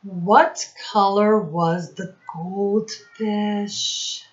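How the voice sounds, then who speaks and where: A woman's voice: mid-range voice, fairly even intonation, very slow, dull audio. A woman leading a storytime lesson for young children, encouraging them and asking them questions directly. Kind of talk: read-aloud lesson quizzing young children.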